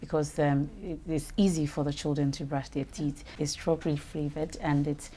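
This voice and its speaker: Casting note: low-voiced woman as talk-show host, upbeat, faint noise behind. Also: level tone, normal speed, dark tone. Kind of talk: interview hosting and ad reading.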